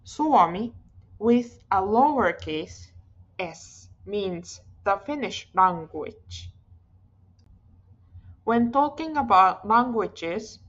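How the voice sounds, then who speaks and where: Slow, careful woman teaching Finnish. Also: medium pitch, dull audio. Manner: slow, careful pronunciation drills, repeating each word. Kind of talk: slow scripted language lesson.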